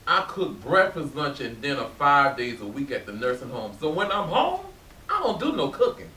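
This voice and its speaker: A man's voice: medium pitch, wildly swooping pitch, moderate pace, bright, forceful tone. A man playing a laid-back, plain-spoken cook and caring family friend in a staged play reading. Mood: cocky, joking. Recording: echoey, hissy room from far off.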